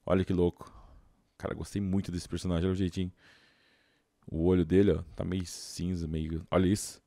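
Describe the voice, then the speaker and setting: Casting: Brazilian male, low register, dry close-miked sound, informal slangy game commentary. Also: little pitch movement, fairly quick, gravelly, mellow, no room sound. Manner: informal, slangy, thinking aloud. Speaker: gaming YouTuber. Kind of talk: casual gameplay commentary.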